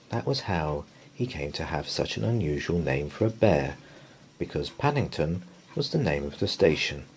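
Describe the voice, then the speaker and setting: A man reading a children's picture book aloud in an expressive storytelling style. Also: sits low, a little hiss or hum, low-quality recording.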